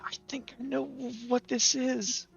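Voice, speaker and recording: A man voicing an anxious, hesitant teenage boy in a tabletop game, stammering and halting as he talks. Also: very high-pitched, little pitch movement, gravelly, breathy edge, muffled recording, voice pushed up, heavily acted. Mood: nervous, secretive. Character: anxious, hesitant teenage boy named K one, recalling bad news.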